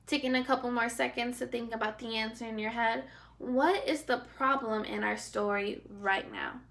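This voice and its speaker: A woman teaching first graders through a read-aloud lesson. Her voice is fairly high and bright, and she is expressive, addressing the pupils directly. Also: moderate pace, vocal fry.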